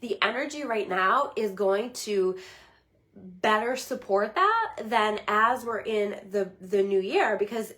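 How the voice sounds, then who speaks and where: Middle-aged woman, bit hoarse, earnest rambly livestream chat, lots of "right?". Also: medium pitch, expressive, slow pace, fairly bright tone. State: slightly hoarse, losing her voice. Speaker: psychic medium giving a weekly reading. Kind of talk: casual livestream talk.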